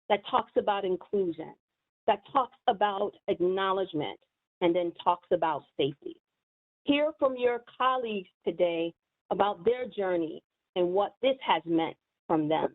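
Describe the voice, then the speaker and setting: Earnest woman host over a tinny line. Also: medium pitch. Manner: earnest and deliberate. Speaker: event host. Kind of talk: prepared remarks introducing speakers. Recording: tinny phone-like sound.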